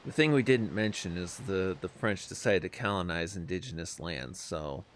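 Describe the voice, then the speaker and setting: A man's voice: low-pitched, normal speed, a little hiss or hum. A man streaming a strategy game, chatting casually with viewers, hesitant and self-deprecating, with many fillers. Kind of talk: casual live-stream commentary. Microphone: desk microphone, no camera.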